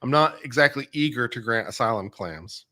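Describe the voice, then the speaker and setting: A man's voice: medium pitch, expressive, moderate pace, bright, forceful tone. A man co-hosting a casual legal talk stream, speaking in a blunt, sardonic way. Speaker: live-stream co-host.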